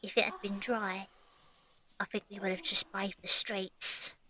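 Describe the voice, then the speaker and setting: A woman's voice: medium pitch, fairly even intonation, measured pace, pushed and bright, low-quality recording. A woman playing a young working-class match girl and maid, cheeky yet unsure of herself, in an audio drama. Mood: reflective narration. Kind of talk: audio drama acting and narration.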